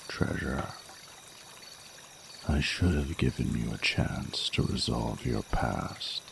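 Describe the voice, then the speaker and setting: A man playing an ancient dragon king in a romantic audio roleplay, tender and flirtatious, with formal, courtly phrasing and a gravelly voice. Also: very deep voice, full character voice. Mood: solemn, caring. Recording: noticeable noise, running water sounds.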